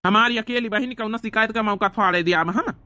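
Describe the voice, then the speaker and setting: Man playing a sharp-voiced Awadhi elder brother. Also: high voice, a little fast. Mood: boastful. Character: proud, doting village elder brother. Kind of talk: cartoon comedy dialogue.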